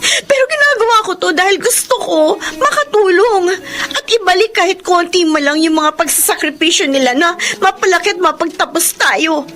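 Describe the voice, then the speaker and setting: A woman's voice: very high voice, moderate pace, fairly bright tone. An actress playing a worn-out young woman who supports her whole family, scolding and tearful by turns. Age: young adult. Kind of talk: TV-style family drama acting.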